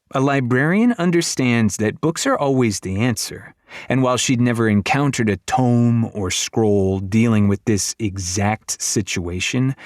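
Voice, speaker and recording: A man's voice: mid-range voice, no echo, studio quality. A man narrating a fantasy story for young readers in a theatrical, wry storytelling manner. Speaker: audiobook narrator. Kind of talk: dramatic scripted narration of a middle-grade fantasy. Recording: music behind the voice.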